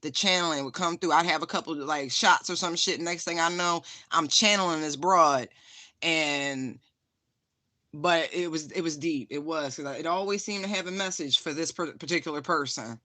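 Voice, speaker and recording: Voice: medium pitch, moderate pace, pushed and bright, no room sound. A tarot reader giving a casual spiritual reading, frank and profane, laughing often and repeating phrases for emphasis.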